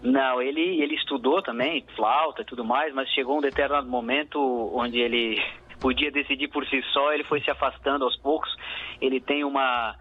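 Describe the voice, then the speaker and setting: A man's voice: mid-range voice, steady tone, a little fast, phone-quality sound. A man from southern Brazil with a southern Brazilian accent, a musician guest in a radio interview, speaking reflectively with many fillers and restarts.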